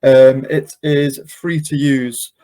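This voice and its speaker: A man presenting a live software demo and question session, explaining step by step in an informal, hesitant way. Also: mid-range voice, flat delivery, slow. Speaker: webinar presenter and software demonstrator.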